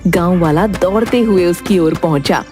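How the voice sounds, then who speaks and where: A woman's voice: on the low side, normal speed, over music. A woman narrator reading a scripted moral tale in a storytelling style.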